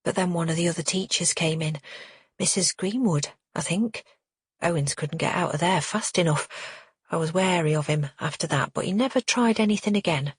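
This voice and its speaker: A tactful married woman in an audiobook story, voiced by a female narrator. She speaks in a cautious, confiding way, with a slightly husky tone and a dry, close sound. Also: on the low side, moderate pace, no room sound. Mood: confiding, hesitant.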